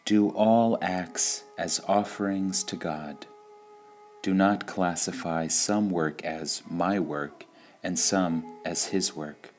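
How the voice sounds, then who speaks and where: A man reads spiritual sayings in a low, deep voice, unhurried and measured. He leaves pauses between phrases, as in a meditative recitation. Faint music plays softly behind him. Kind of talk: slow meditative reading of quotations.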